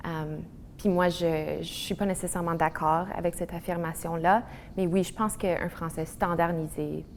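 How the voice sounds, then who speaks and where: A woman's voice: lowish voice, steady tone, moderate pace, slight background noise. A woman, speaking articulately and reflectively in a personal testimony. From Manitoba, Canada. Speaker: interviewee. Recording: echoey room.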